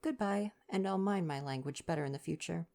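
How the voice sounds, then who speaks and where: A man voicing a cheeky, breezy young English woman in a storybook, with slangy, brisk, flippant talk. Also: on the high side, vocal fry, darkish tone, voice pushed up.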